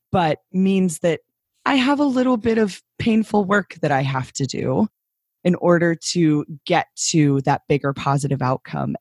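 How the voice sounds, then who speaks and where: A woman co-hosting a podcast in casual chat, hoarse this week, talking in a lively storytelling way. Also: deep voice, no room sound, clean studio sound. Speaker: podcast co-host.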